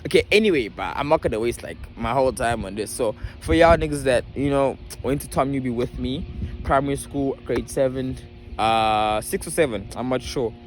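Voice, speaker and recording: A young man with a South African accent tells a personal story to viewers in casual slang, halting and repeating himself as he thinks. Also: medium pitch, faint music behind. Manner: halting and repetitive while searching memory. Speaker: vlogger.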